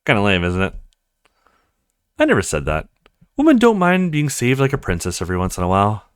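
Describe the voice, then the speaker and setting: A man reading visual novel dialogue aloud as a let's play streamer, acting out the characters' lines in an animated way. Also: fairly low pitch, a little fast, clear, resonant tone, dry recording, studio quality.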